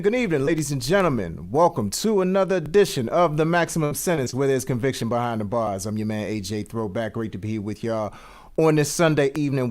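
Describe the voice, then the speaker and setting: A man speaks as the host of a casual online show, at a middling pitch. He is effusive, often doubling up his words of welcome and appreciation. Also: a little mellow. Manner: effusive, repeats greetings and thanks. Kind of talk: casual livestream hosting.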